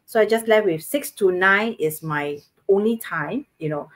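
A woman's voice: mid-range voice, normal speed. A woman in her thirties from Singapore with a Singaporean English accent, co-hosting from home over a video call and explaining things earnestly with lots of fillers. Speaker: co-host. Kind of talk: casual live-stream chat. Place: home living room, indoors, video call. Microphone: webcam on video call.

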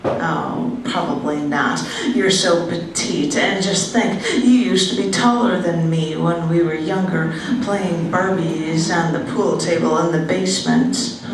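A middle-aged woman giving an expressive, conversational reading of a personal narrative poem at an open mic. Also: low-pitched. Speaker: poet. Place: indoor room at a poetry open mic. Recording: echoey, noisy room, distant-sounding live recording.

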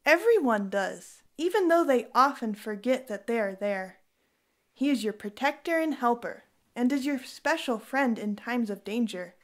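Woman as Cecilia, devout higher-voiced Roman wife. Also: animated, moderate pace, natural voice. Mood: reassuring. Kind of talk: audio drama acting.

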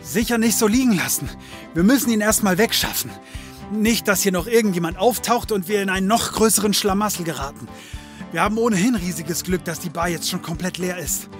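A man's voice: high voice, brisk, soft background music, natural voice. A man playing a cocky occult detective in a comedy audio drama, wry and full of bravado. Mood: brisk, bossy. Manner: wry, cocksure. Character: cocky male occult detective, fear hidden under bravado.

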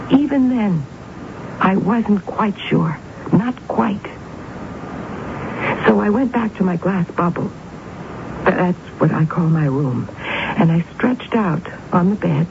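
Intense young woman who thinks she's psychic, gravelly edge, dramatic, tinny noisy old radio drama. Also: fairly low pitch. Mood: intense, certain. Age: young adult. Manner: dramatic, emphatic, deliberate repetitions. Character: young woman, grandiose, obsessed psychic, coolly self-absorbed. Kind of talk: 1970s radio drama acting, dialogue and confiding narration. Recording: tinny, noisy 1970s radio broadcast recording.

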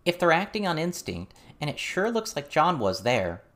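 A man's voice: medium pitch, big pitch swings, normal speed, voice pushed up, close to an everyday voice. A man plays a confrontational girl in an audiobook. The voice is pushed well above his own, blunt and accusing, ready to argue with anyone. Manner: blunt, challenging, raising voice. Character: fierce, confrontational young girl, blunt and suspicious.

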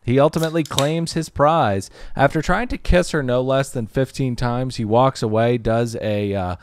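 A man's voice: medium pitch, normal speed, clear, resonant tone, dry recording. A man in his thirties hosting a casual comedy recap podcast, telling the story with sardonic, crude jokes. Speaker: podcast host. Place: small living-room podcast studio. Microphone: studio mic on boom arm, headphones.

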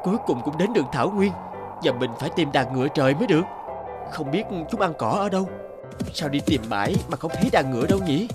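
A man's voice: a little high, lively intonation, fast, over music, lightly acted. An actor playing a devoted, polite young male violinist in a cartoon. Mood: earnest. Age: young adult. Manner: earnest, polite.

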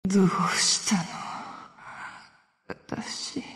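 A woman voicing a sadistic, playful demon girl in a game, bright and teasing, with drawn-out words in an echo. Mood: dazed. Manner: teasing, drawn-out, swooping delivery. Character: sadistic, playful girlish female abyssal demon. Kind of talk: Japanese game voice acting. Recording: echoing hall-like reverb, distant.

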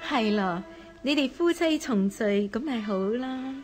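An actress playing a deferential, pleading noblewoman at the Chinese imperial court in a historical radio drama, with a husky voice. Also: a little high, expressive, measured pace, a little mellow, faint music behind. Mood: pleased.

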